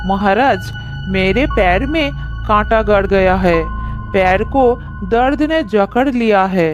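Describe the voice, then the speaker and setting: A woman voicing a wily, pleading donkey in a cartoon moral story, deferential and flattering in a drawn-out way. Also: mid-range voice, close to an everyday voice. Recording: music under the voice.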